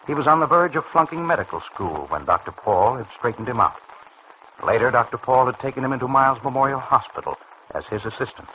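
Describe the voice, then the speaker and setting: Male narrator, lowish bright voice, formal, dramatic, vintage radio soap. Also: highs cut off. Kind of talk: mid-century radio serial scripted narration. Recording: faintly noisy old radio recording.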